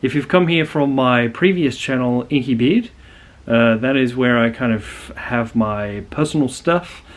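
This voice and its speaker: A man's voice: mid-range voice, a little slow. A young adult man with an Australian accent, introducing his channel in a rambling, earnest way with many restarts. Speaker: channel host. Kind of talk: casual talking-to-camera channel intro.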